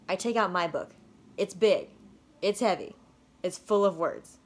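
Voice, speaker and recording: A woman reading a children's storybook aloud with playful, expressive narration. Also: fairly low pitch, a little slow. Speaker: storybook reader.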